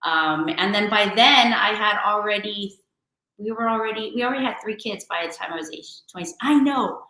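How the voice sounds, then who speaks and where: A woman's voice: medium pitch, normal speed, pushed and bright, reverberant, rough recording. A woman, a guest chatting casually in an interview with many fillers and restarts. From San Francisco Bay Area, California. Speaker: guest entrepreneur and DJ.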